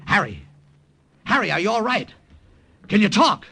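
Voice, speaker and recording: An actor playing an excitable, slangy American airman who tells his war story in a dramatic old radio thriller style. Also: high voice, creaky, husky, heavily acted. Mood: desperate. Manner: dramatic, slangy, halting under strain. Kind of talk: 1940s-50s radio drama narration and acting. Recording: old-time radio recording with music.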